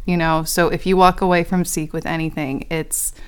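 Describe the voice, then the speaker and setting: A woman's voice: low voice, flat delivery, normal speed, clear, resonant tone. A young woman co-hosting a faith podcast, talking in an earnest, encouraging way. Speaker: podcast co-host. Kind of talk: casual chat.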